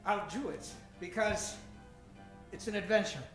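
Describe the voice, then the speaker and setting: A woman's voice: medium pitch, measured pace, close to an everyday voice. A woman playing a bookish adventurer professor and stern guardian in an old-time radio comedy. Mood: earnest, pompous. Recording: echoey stage, music behind.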